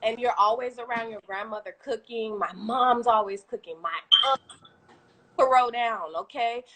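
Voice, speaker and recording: A woman with a Haitian accent, a guest entrepreneur talking casually and at length on a live video stream, animated and quick to laugh. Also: a little high, background noise. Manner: talkative, animated, laughs often. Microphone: video call.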